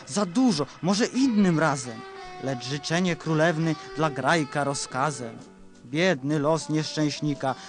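A man reciting a comic rhymed tale with a mock-poetic storytelling cadence. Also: a little high.

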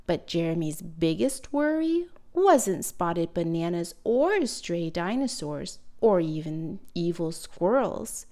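A woman narrating a picture book for young children with deliberate, dramatic emphasis. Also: on the low side, sing-song, warm tone. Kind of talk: expressive read-aloud for young children.

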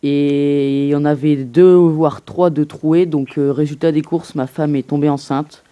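A man places a prank phone call as a disgruntled customer. His voice sits a little high and is soft-edged and mellow. He complains haltingly, with plenty of hesitation. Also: fairly even intonation, smooth. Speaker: prank caller.

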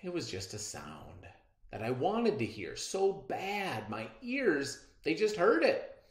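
Slow, animated man reading a story. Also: a little high. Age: middle-aged. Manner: dramatic, deliberate delivery. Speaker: read-aloud narrator. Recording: roomy echo.

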